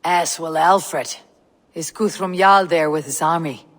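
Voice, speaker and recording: Game casting: seasoned Norse warrior, wary and blunt, raspy higher register, long reverb. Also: male voice, measured pace, a bit bright. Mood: wary, measured. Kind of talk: video game cutscene acting.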